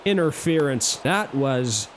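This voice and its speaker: A young man, hoarse from shouting, giving excited play-by-play of a baseball video game with swearing and running commentary. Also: medium pitch, slow pace, a little hiss or hum. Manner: animated, swears, thinks aloud between plays. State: losing his voice. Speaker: gaming YouTuber. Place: small booth at home. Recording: game music playing behind.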